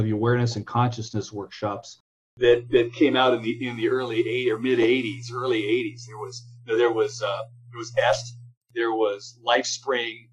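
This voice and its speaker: A man in his fifties from California, a software entrepreneur telling long, self-correcting stories as a guest in an interview. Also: mid-range voice, slightly rough, dull audio. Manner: rambling, self-correcting, anecdotal. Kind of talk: conversational interview storytelling.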